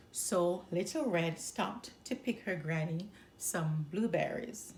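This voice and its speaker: A woman reading a children's story aloud as a storyteller, in an expressive narrating style. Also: mid-range voice, a little hiss or hum. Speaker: storyteller and channel host.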